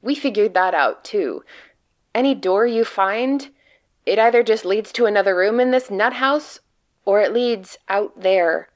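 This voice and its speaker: A woman playing a frightened, despairing agent trapped among monsters, delivering a halting, grimly sardonic confessional monologue in an audio drama. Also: mid-range voice, lively intonation, lightly acted. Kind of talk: dramatic monologue reading of a written report.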